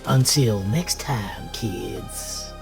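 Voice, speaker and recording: Creaky, creepy horror-host uncle. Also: male voice, on the low side, animated, exaggerated voice. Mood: teasing menace. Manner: mock-folksy, menacing, drawn-out delivery dropping into whispers. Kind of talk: theatrical campy horror host narration. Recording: prominent music behind the voice. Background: music.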